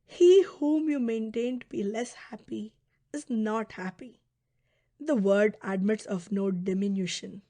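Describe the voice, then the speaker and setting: A woman reading a philosophical audiobook in a measured, deliberate, formal manner. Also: medium pitch, dark tone, dry recording. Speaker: audiobook narrator. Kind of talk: scripted narration of a philosophical text.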